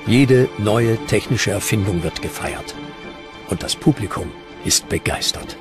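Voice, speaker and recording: A man narrates a historical documentary in a fairly low voice. He reads from a prepared script, with clearly audible music underneath. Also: animated, a little slow.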